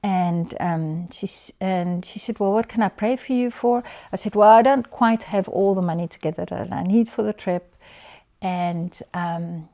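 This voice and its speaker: A woman giving a personal faith testimony in a relaxed, conversational way, full of small fillers and restarts. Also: medium pitch, a little slow. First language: not Dutch. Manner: conversational, many fillers and restarts, laughs often. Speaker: church member giving testimony.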